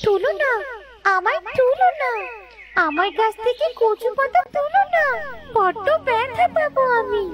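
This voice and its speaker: A woman voicing a gentle, pleading talking magic plant in a sweet sing-song fairy-tale cartoon voice. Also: extremely high pitch, animated, a little slow, very noisy.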